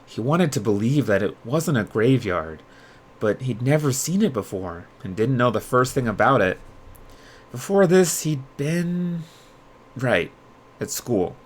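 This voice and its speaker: A man hosting a livestream readalong, moving between expressive storybook narration and casual chat with viewers. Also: mid-range voice, a little slow. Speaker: streamer host reading aloud.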